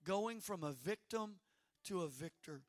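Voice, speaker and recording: A hoarse man preaching a sermon as pastor, stressing slow phrases and calling out to the congregation. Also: high-pitched, expressive, dry recording, clean studio sound. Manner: preaching with slow emphatic phrases and rising calls.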